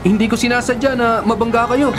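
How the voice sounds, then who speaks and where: A man's voice: very high voice, fairly even intonation, fast talker. An actor playing an earnest, troubled young male student in a Filipino radio horror drama. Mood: troubled, flustered. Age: young adult.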